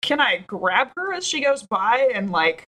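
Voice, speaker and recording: A woman's voice: on the high side, big pitch swings, unhurried, sharp tone. A woman playing a tabletop game, chatting casually with a playful, wry manner as she reacts and describes actions.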